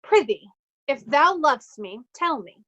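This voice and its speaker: A woman's voice: high-pitched, sing-song, measured pace, bright, forceful tone, highs cut off, exaggerated voice. A woman playing a haughty, cowardly male steward in Shakespearean reader's theatre, affronted and theatrical. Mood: polite, affronted.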